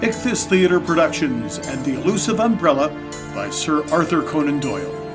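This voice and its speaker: Male announcer over loud music. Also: on the high side, very animated, moderate pace. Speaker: announcer and director. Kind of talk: scripted credits announcement.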